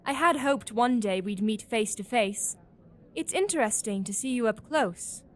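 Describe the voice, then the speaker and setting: A woman's voice: on the high side, animated, unhurried. A woman playing an eager, gossipy young doctor in an audio drama, chatty and proper. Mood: gushing. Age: young adult.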